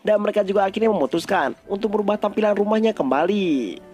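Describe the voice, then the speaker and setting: A man's voice: high-pitched, fast, a little mellow, faint music behind. A man with an Indonesian accent narrating a story recap in a casual, colloquial storytelling style.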